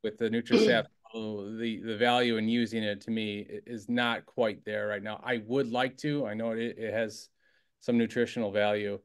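A middle-aged man who gives bird feeding advice on a video call, measured and hesitant, with many fillers. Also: fairly low pitch, level tone, smooth. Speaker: bird seed store expert. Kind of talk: casual panel chat.

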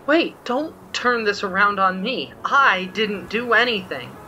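Woman voicing a bright, earnest young cartoon pony. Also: mid-range voice, lively intonation, unhurried, a little hiss or hum, low-quality recording. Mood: defensive. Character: earnest, defensive young pony magician, the sibling. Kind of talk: cartoon fan comic dub.

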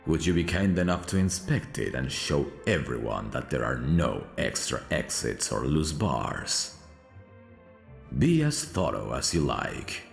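A man playing a suave, careful stage magician in a scripted ASMR audio roleplay, with a theatrical, drawn-out showman's delivery. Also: a bass voice, big pitch swings, creaky, roomy sound, soft background music, exaggerated voice. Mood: smooth, reassuring.

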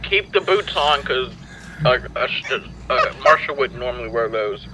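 A man's voice: mid-range voice, lively intonation, normal speed, sharp tone. A man chatting casually in an online roleplay game, plain-spoken and directing the others. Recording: dull lo-fi headset audio, faint noise.

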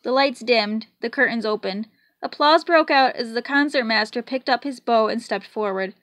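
A woman reading a children's picture book aloud in an expressive storytelling style. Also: on the high side, moderate pace, no room sound. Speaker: storybook reader. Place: indoors in a room at home.

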